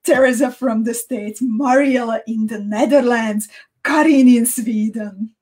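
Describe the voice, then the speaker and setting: A woman in her thirties from Slovakia with a Central European accent, chatting casually and cheerfully as a livestream host, halting with small repeats. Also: fairly high pitch, fairly even intonation, a little mellow. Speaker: beading teacher and livestream host. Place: small indoor home studio room.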